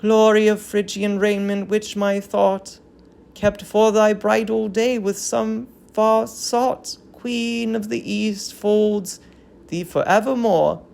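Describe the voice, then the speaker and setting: A woman's voice: medium pitch, fairly even intonation, slow, vocal fry, darkish tone. A woman playing a grieving, dignified old queen in a Greek tragedy reading, with solemn, declamatory verse delivery. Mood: bitter mourning. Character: grieving old queen mourning her dead grandchild, bitter, dignified.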